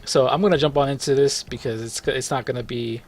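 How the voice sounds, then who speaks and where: A man's voice: mid-range voice, fairly even intonation, a little fast, faint music behind. A man giving casual, rambling commentary over a mobile game run, full of fillers and self-corrections as he walks viewers through it. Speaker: gaming video host.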